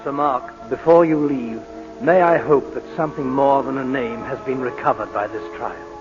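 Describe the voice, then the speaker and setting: An actor playing a stern, sceptical English judge, formal and commanding in a 1940s radio drama. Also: male voice, medium pitch, normal speed, over music, natural voice. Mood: solemn. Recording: muffled, reverberant old radio broadcast.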